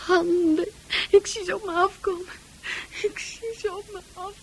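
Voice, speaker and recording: Very high-pitched, hysterical young patient. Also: female voice, steady tone, normal speed, slight background noise. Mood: panicked, tearful. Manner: pleading, tearful, hysterical. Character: frightened, hallucinating young female patient, childlike and panicky. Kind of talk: radio play acting.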